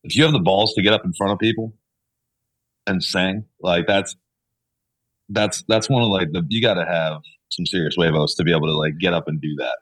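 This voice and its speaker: A man's voice: low voice, steady tone, a little fast, fairly bright tone, no room sound, low-quality recording. A young man, a country musician guest, chatting in an easygoing way with many fillers. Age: young adult. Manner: easygoing, lots of likes and you-knows. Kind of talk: casual chat.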